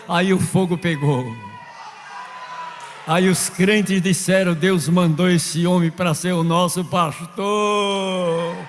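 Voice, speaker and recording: A man preaching a sermon from Northeast Brazil, telling stories, acting out quoted voices and building to shouts. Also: on the high side, creaky, slight background noise. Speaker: pastor. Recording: long reverb of a large hall.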